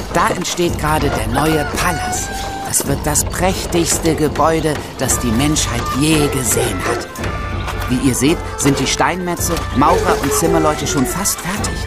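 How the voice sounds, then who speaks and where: A man's voice: on the high side, natural voice. A young man playing an eager, proud medieval squire who explains things to visiting children in an audio drama. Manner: explanatory, enumerating.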